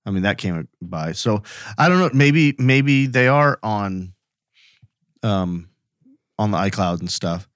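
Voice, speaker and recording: A man with a fairly low voice co-hosts a casual podcast. He reacts and asks questions informally, often thinking aloud. The sound is very dry, with no echo. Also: animated, slow, pauses often, a bit bright. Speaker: podcast co-host.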